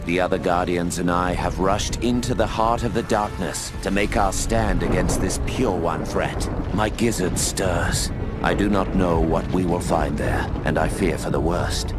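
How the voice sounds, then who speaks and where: An actor narrating as an older male owl guardian, telling the story steadily over game music. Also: sits low.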